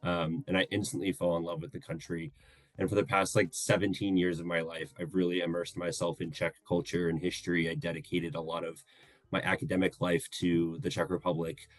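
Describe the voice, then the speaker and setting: A man in his thirties talks in a low, dry voice. He speaks earnestly and carefully, with frequent pauses as he guides a casual podcast chat. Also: flat delivery, no room sound. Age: 30s. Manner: earnest, measured, hesitant. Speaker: guest co-host.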